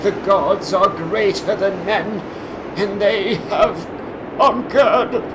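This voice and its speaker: A man's voice: high voice, slow pace, background noise, voice pushed up. A man voicing a despairing, solemn bearded man in an anguished, declaiming delivery for a horror story. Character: grieving bearded old man, despairing, solemn doom-laden ship's guide.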